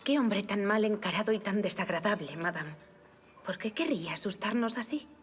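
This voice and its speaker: A woman's voice: medium pitch, expressive, moderate pace, faint music behind, natural voice. A woman acting in an audio drama as a deferential but frank and inquisitive lady's maid. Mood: uneasy. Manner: respectful, frank.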